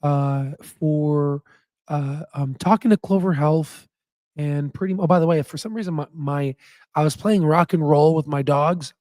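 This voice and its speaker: Hoarse man rambling about stocks. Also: a little high, unhurried, darkish tone, no echo, studio quality. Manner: rambling with many fillers and restarts. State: hoarse, voice nearly gone. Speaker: stock market channel host. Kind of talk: casual chat and stock analysis.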